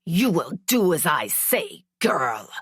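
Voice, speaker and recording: A woman voicing a cold, cruel middle-aged father who speaks slowly and snarls his threats. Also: low-pitched, very animated, husky, no echo, clean studio sound, full character voice. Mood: menacing. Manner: cold, snarling, slow and menacing.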